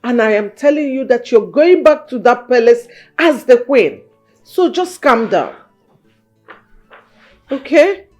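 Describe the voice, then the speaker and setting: An actress playing a firm, scheming, middle-aged mother in a film drama, measured and insistent. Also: a little high, big pitch swings, a little mellow, soft background music. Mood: firm, reassuring.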